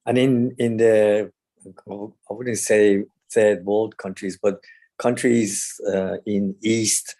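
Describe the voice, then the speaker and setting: A man from Iran speaking haltingly in a group discussion, searching for his words. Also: fairly low pitch, flat delivery, dark tone. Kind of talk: discussion comments in an online meeting.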